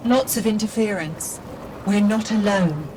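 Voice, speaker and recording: A woman's voice: mid-range voice, measured pace, noisy background, lightly acted. A woman voicing a loyal, composed AI assistant in a video game, polite and precise, frankly protective of the one she serves. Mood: polite concern. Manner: polite, precise, addresses boss as sir.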